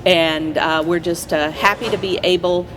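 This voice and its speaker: A woman guest in a conversational interview, explaining things agreeably in halting phrases. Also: on the low side, steady tone. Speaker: community theater player, interview guest. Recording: faint noise and background ambience.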